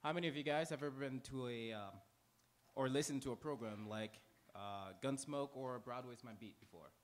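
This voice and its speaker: A man hosting a live theater pre-show, addressing the audience from the stage in an informal, halting way. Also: mid-range voice.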